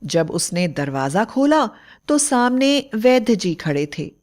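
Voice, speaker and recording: Woman reading a bedtime fairy tale, slow and measured, medium pitch. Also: sing-song, darkish tone. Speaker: storyteller. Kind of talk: scripted bedtime story narration.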